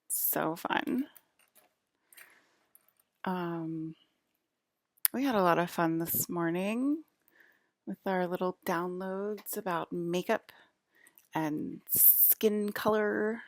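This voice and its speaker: A woman's voice: lowish voice, slow, long pauses, no echo. A woman in her forties from New Jersey, rambling through a casual, chatty monologue that keeps breaking off and slipping into whispered asides. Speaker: vlogger.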